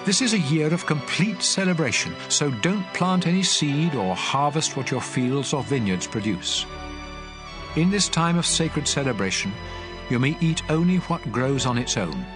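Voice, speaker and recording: Guy acting as god in a bible audio drama, stern lawgiver, solemn and measured, loud music behind. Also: fairly high pitch, lively intonation, natural voice. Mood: solemn, instructive. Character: God of Israel, stern commanding male lawgiver. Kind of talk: dramatized scripture reading.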